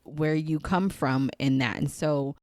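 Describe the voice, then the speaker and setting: An American woman from Queens, New York, chatting casually as a podcast guest and telling stories in an animated way. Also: sits low, measured pace, no room sound, clean studio sound. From Queens, New York City, USA. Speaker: podcast guest, spiritual guide. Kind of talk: casual chat.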